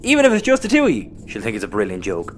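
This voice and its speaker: A man's voice: fairly low pitch, wildly swooping pitch, racing, a little hiss or hum. A man playing a scheming, sarcastic Irish spacer and protective brother in a sci-fi audio comedy. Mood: scheming, animated.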